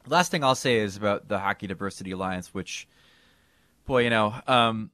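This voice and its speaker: A man co-hosting a sports podcast, talking in a casual, animated way with bursts of emphasis. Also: low voice, clear, resonant tone, no room sound. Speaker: podcast co-host.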